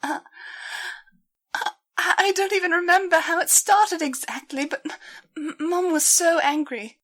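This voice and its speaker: A woman's voice: extremely high pitch, measured pace, fairly bright tone, voice pushed up. A woman voicing a guilt-ridden young female pony, stammering and hesitant as she forces out an apology in an audio storybook. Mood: distraught, tearful.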